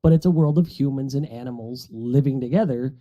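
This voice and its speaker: A man's voice: medium pitch, fairly quick, dark tone, muffled recording. A man on a podcast panel, explaining things in a casual, conversational way.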